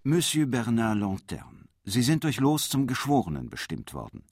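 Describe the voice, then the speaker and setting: An actor playing an official who reads out a formal letter in a radio drama, measured and officious. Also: male voice, mid-range voice, huge pitch swings. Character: male official reading out a formal summons.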